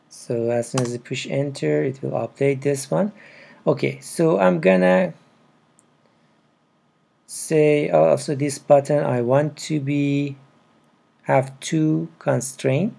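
A man with a non-native English accent narrates a casual step-by-step tutorial, with halting, broken phrasing. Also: mid-range voice, gravelly, dark tone. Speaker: programming tutorial presenter. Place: indoors at a computer.

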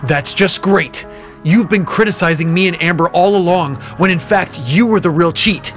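Scornful, petty boss in an audio drama, music behind. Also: male voice, sits high, moderate pace, fairly bright tone. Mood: furious, accusing. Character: angry, petty male boss and ex-lover, scornful.

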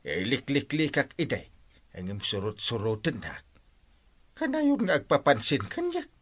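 A cranky Ilocano uncle in a radio comedy drama. His voice is husky and leaps all over in pitch. He scoffs, mocks and brags with broad, exaggerated delivery. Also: wildly swooping pitch, measured pace, fairly bright tone, heavily acted. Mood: boastful, teasing.

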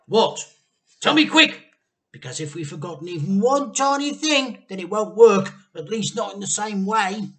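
Storybook father played by a male reader: overexcited, impatient, shouting high, very animated. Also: normal speed, heavily acted. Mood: impatient excitement. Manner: shouting, exclaiming, breathless urgency. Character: excitable, booming father, wildly impatient and gleeful.

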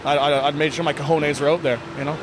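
A man in his thirties, a sports coach giving a candid, casual post-game interview in a plain-spoken, halting way with repeated words. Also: on the high side, level tone. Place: indoor sports hall, blue block walls. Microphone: handheld interview microphone. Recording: noisy venue with background ambience.

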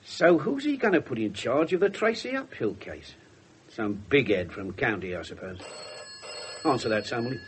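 A noisy old radio play recording of an actor as a scruffy, irreverent English detective inspector. He is brusque and wisecracking, and his mid-pitched voice moves up and down a lot. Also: very animated, moderate pace, lightly acted. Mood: startled, wry. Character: irreverent, scruffy police inspector, sardonic and blunt. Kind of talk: 1970s radio drama acting.

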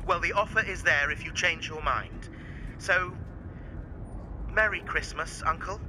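Actor as earnest, high-voiced cheerful nephew. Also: male voice, a little slow, lightly acted. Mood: pleading. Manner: earnest, pleading. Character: cheerful, earnest nephew who loves Christmas. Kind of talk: modern audio drama acting. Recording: noisy street and car ambience behind.

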